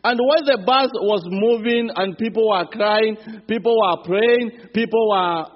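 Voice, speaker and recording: A man from Kenya with a Kenyan accent, preaching as a visiting pastor in earnest, building fervor. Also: high-pitched. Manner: earnest preaching, rising fervor, some halting restarts. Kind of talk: sermon. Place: church sanctuary. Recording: reverberant church hall, dull sound.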